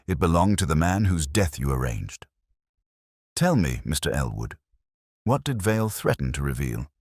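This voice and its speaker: A man voicing a coolly logical, assured detective in an audiobook, speaking in measured, precise, formal phrases. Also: a bass voice, sing-song, husky, dry recording, studio quality, full character voice. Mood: cool, confronting.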